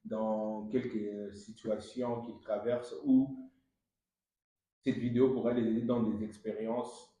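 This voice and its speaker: A man's voice: fairly low pitch, flat delivery, slow, mellow. A young man hosting a casual conversational interview in a very echoey room. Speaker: podcast host.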